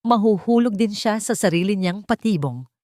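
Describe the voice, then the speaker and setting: A woman's voice: medium pitch, lively intonation, normal speed, a little mellow, dry recording, clean studio sound, pitched above the performer's own voice, close to an everyday voice. A woman narrator voicing a determined, protective father with a firm, reassuring manner in an audiobook drama. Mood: resolute.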